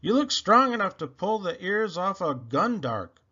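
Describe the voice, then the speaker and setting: A man's voice: high voice, sing-song, measured pace, bright, forceful tone, breathy edge, voice pushed up, heavily acted. A man voicing a brash, teasing space smuggler hero from a storybook, on a dull recording. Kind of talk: storybook character reading. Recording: dull, lo-fi sound.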